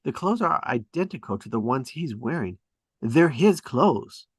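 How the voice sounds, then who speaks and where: A man's voice: medium pitch, very animated, unhurried, husky, no room sound, clean studio sound. A man reading stage directions as dramatic scripted narration at a table read.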